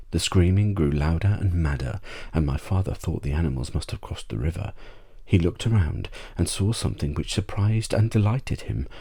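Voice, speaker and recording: Male bedtime-story reader for ASMR audiobook: deep, dark-toned, measured storytelling. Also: gravelly, husky.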